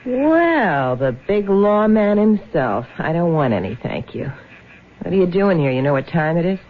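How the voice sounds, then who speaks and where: A woman's voice: lowish voice, very animated, a little slow, slight background noise. An actress playing a tough, suspicious American woman with a blunt, slangy, taunting manner in a 1970s radio drama. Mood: sardonic, dismissive. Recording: muffled vintage radio recording.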